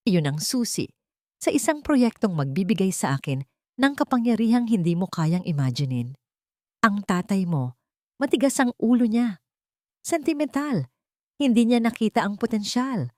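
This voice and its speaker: A woman's voice: medium pitch, darkish tone, dry recording, clean studio sound, natural voice. Woman voicing a menacing, smug villainous uncle. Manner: smug, taunting, remorseless. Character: cold, menacing older male villain, a scheming uncle.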